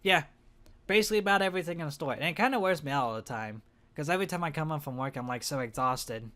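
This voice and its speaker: Young male gaming vlogger, slightly high voice, hoarse and congested, rambling and unsure of himself. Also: fast talker, sharp tone. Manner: rambling, hesitant, self-conscious. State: hoarse, stuffed-up nose. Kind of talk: casual monthly vlog.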